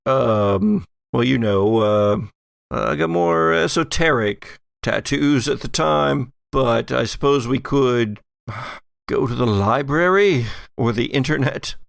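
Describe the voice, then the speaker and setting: A man voicing a hesitant, musing tattooed occultist in a tabletop audio drama, halting as he thinks aloud. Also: mid-range voice, animated, gravelly, no echo, clean studio sound. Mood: musing, unsure.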